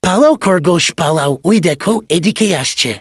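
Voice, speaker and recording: A man voicing a worried, chummy tortoise with a husky voice in a children's cartoon. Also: high-pitched, animated, moderate pace, heavy background noise. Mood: alarmed. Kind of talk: dubbed children's cartoon dialogue.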